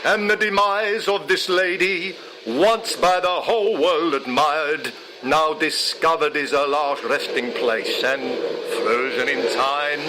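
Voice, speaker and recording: A man reading poetry aloud in a solemn, measured, dramatic way. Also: fairly high pitch, noisy background. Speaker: poetry reader. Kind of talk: expressive poetry recitation. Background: music.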